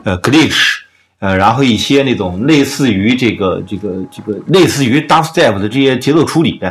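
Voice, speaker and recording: A man's voice: medium pitch, lively intonation, moderate pace, a bit bright. A man hosting a casual music discussion in an explanatory, opinionated manner. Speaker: podcast host.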